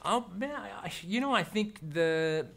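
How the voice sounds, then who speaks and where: A man's voice: sits high, animated, unhurried, creaky, a bit bright, a little hiss or hum. An Australian man, an actor, talking casually as an interview guest, thoughtful and rambling, with frequent restarts.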